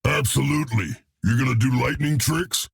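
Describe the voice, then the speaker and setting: A man voicing a hulking, cocky green brute in a video game, gruff and blunt, talking about himself in the third person with a gravelly, husky voice. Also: medium pitch, moderate pace, no room sound, studio quality. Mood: gruff banter. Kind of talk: video game character dialogue.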